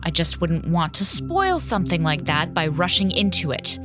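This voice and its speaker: An actress playing a firm, dry-witted space station commander in an audio drama, authoritative and dryly emphatic. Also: female voice, lowish voice, sing-song, moderate pace, over music. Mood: controlled indignation.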